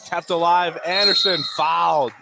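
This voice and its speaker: A man's voice: on the high side, steady tone, normal speed. A man doing live radio play-by-play of a basketball game in short, clipped phrases. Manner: clipped, terse running call in short phrases. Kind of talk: live sports radio commentary. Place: indoor high school basketball game. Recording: clear background noise of a gym.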